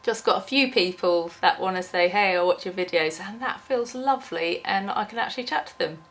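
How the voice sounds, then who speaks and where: A woman's voice: mid-range voice, brisk. A young woman with a cold, chatting in an unscripted, rambling vlog, full of ums and restarts. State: has a cold, losing her voice. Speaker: small YouTuber.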